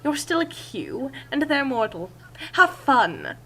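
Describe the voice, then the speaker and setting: Female performer as godlike trickster narrator, pompous, scornful, theatrical, raised pitch, noisy humming recording. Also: very animated, slow, pushed and bright, heavily acted. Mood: grandly scornful. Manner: theatrical, haughty, grandly wordy. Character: pompous, condescending omnipotent trickster being narrating its tale. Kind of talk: dramatic reading of comic fan fiction. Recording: clear background noise and hum.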